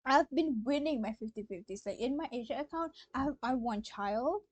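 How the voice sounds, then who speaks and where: A young woman from Malaysia, an excitable game streamer chatting casually and exclaiming to her live viewers. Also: sits high, moderate pace, dry recording. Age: young adult.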